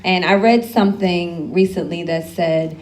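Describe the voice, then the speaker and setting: A woman's voice: fairly low pitch, unhurried. Candid woman on a panel. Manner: candid, laughs often, loose asides. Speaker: alumni panelist. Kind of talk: panel discussion advice.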